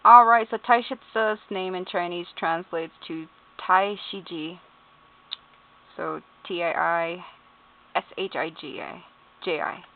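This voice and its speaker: A woman's voice: on the low side, slow pace. Chatty woman with a tinny sound. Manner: amused, chatty, spells out names letter by letter, laughs and swears. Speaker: YouTuber. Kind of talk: casual commentary reading game character bios. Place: at home.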